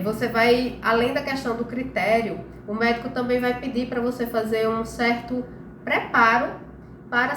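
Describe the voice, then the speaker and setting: A Brazilian woman with a slightly nasal, congested voice from a cold, explaining things informally as host of a health live stream and often checking that listeners follow. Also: on the high side. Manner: conversational, frequent check-in tags. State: congested, recovering from flu. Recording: echoey room with clear background noise.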